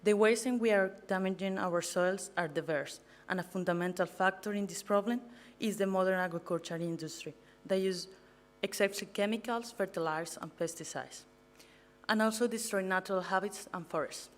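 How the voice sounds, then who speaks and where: A woman's voice: mid-range voice, brisk. A young woman from Colombia with a Colombian Spanish accent, giving a prepared talk to an audience as a master's student, earnest and a little nervous, stumbling on some words. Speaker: guest speaker, master's student. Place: event venue.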